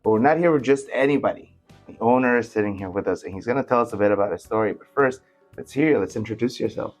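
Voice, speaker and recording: A man's voice: on the low side, fast, soft background music. A man hosting a podcast interview, asking questions with enthusiastic reactions. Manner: enthusiastic, frequent short reactions. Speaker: podcast host. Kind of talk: casual interview.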